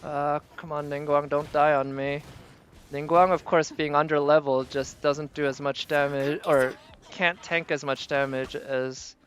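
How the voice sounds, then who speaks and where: A man's voice: a little high, little pitch movement, unhurried, fairly bright tone. A man doing casual live game commentary, thinking aloud and trailing off as he goes. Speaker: game streamer.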